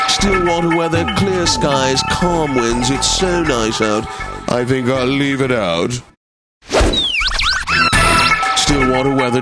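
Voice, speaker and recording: Wry, self-important classical radio host, mid-range voice, polished announcer delivery, loud music underneath. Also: male voice, mid-range voice. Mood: playful. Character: pompous, wry male classical station radio DJ. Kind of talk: radio weather reports and station idents.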